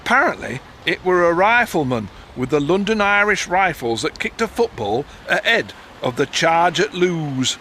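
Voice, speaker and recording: An actor playing a wily working-class informant in a period audio drama, talking colloquially and conspiratorially. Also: male voice, a little high, big pitch swings, normal speed, pushed and bright, slight background noise. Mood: sly, urging.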